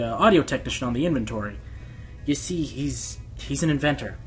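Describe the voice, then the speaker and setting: A man's voice: on the high side, natural voice. A man playing a defensive, earnest pony radio host being interviewed in a radio play, stammering and hesitant. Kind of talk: conversational radio play dialogue. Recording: music under the voice.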